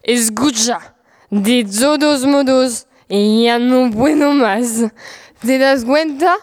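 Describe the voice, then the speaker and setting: A woman playing a weary, lovesick and deluded would-be knight in an audio drama, with slow dramatic delivery. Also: a little high, a little mellow, dry recording, clean studio sound. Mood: weary, despairing. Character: Don Quixote, weary lovesick deluded Spanish-speaking man.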